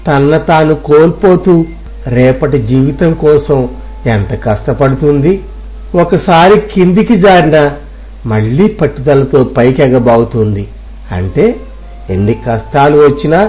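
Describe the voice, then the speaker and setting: A man's voice: fairly high pitch, darkish tone, lightly acted. A man playing a poor, self-doubting villager who thinks aloud earnestly in a moral story. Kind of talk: Telugu moral story audio drama.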